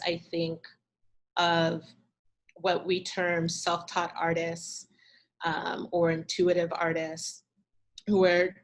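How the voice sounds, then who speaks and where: A woman curator answering questions in a talk, thoughtful and measured, pausing often over a video call. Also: on the low side, a bit bright. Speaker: arts curator, guest speaker.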